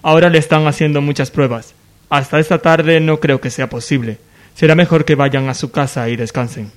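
Hospital doctor for radio soap , male actor: fairly high-pitched, formal, grave, measured delivery. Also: steady tone, close to an everyday voice. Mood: solemn. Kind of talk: radionovela acting.

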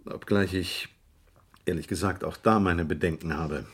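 An actor playing a discreet, polite and drily witty fixer in a vintage German crime radio play, with wordy, courteous phrasing. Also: male voice, sits low, fairly even intonation, normal speed, fairly bright tone, husky. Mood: drily amused.

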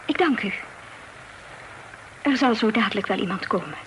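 An actress playing a well-bred young Dutch lady in a radio play, formal, coy and gently reproving. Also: medium pitch, lively intonation, normal speed, close to an everyday voice. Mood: prim, coy. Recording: noisy old radio play recording.

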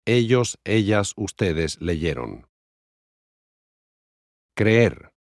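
A man reading scripted language lesson examples with careful, deliberate enunciation, as in a conjugation drill. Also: lowish voice, big pitch swings, no room sound, clean studio sound.

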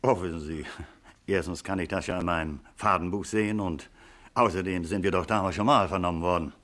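An actor playing an eager, hesitant taxi driver witness in a 1950s radio crime drama, chatty and hemming. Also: male voice, deep voice, fairly bright tone. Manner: halting, hemming, chatty, small nervous chuckles.

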